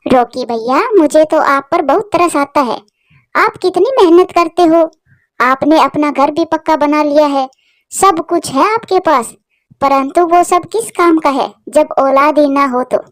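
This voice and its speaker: A woman's voice: high voice, a little slow, no room sound, clean studio sound. A woman voicing a blunt, meddling neighbour bird in a cartoon story, talking insistently. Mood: pitying. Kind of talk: Hindi cartoon story dialogue.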